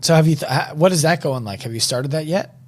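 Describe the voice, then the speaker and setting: A man's voice: a little high, quick pace. A man hosting a podcast interview, asking questions in an encouraging way and thinking aloud with restarts. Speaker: podcast host. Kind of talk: casual interview conversation.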